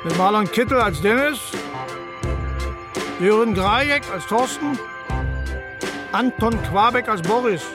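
Very high-voiced man announcing, slow, over music. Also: animated. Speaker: credits announcer. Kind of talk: scripted radio drama credits.

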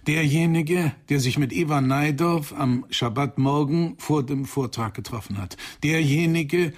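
Stern analyst in a radio crime play, bit husky, cold and formal, accusing. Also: male voice, fairly high pitch, unhurried. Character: stern male psychoanalyst coldly confronting a murderer. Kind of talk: German radio crime drama acting.

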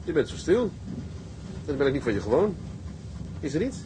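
An actor playing a hearty, seasoned tugboat captain in a Dutch period drama, with a brisk manner. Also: male voice, fairly high pitch, very animated, background noise. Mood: caring.

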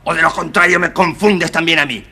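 A bossy, quick-tempered fox from a children's storybook record. The voice is forceful and rather high, with a husky rasp. He gives orders emphatically and scolds as he advises. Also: fairly even intonation, heavily acted. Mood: scolding, instructing. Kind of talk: children's audio storybook acting.

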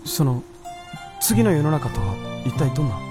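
Earnest, very expressive young-sounding male fox played by a man. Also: mid-range voice, normal speed, music in the background, close to an everyday voice. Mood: earnest, hesitant. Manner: polite, hesitant, easily flustered. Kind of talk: Japanese radio drama acting.

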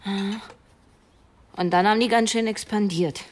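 A woman's voice: medium pitch, steady tone, a little slow, slight background noise, close to an everyday voice. Actress as a casually inquisitive village woman. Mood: curious. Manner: casual, probing questions. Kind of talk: radio drama dialogue.

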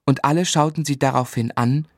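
A man's voice: mid-range voice, fairly quick, no room sound, lightly acted. An actor playing a grown man who recalls his boyhood, narrating a literary radio drama in the first person. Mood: reflective. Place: dry studio.

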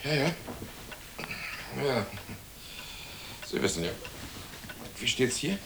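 An actor playing a courteous, reserved senior scientist in a radio drama. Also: male voice, medium pitch, sing-song, slow, sharp tone, a little hiss or hum, exaggerated voice, low-quality recording.

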